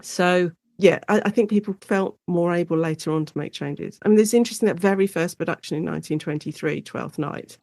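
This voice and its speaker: A woman's voice: on the low side, fairly quick, gravelly, few pauses, dry recording. Woman, dry close sound, explaining informally. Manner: animated explainer, acts out short quotes. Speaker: guest academic, Shakespeare and radio drama specialist. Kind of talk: informal interview conversation.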